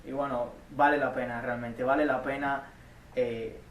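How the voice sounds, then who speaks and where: An earnest young man from Cuba speaks, answering interview questions in a halting way, often restarting and repeating words. His voice stays flat and even in pitch. The mic sits well away from him in an echoey room with a faint hum of background noise. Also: far from the mic. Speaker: community college student.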